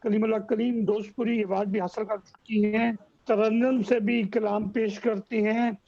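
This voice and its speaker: A man's voice: high-pitched, little pitch movement, moderate pace, warm tone. A man moderating a mushaira, introducing a poet in courteous, formal Urdu over a video call. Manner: courteous, chimes in repeating verse endings. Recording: dull lo-fi call audio.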